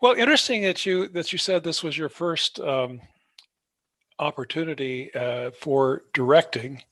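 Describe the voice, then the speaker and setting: Male podcast host, slightly high voice, wordy and wry interviewer, dry studio-like sound. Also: measured pace, a bit bright, no echo. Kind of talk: conversational interviewing.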